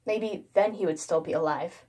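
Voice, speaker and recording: Low-pitched woman narrating horror. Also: normal speed, mellow. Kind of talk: first-person creepypasta narration.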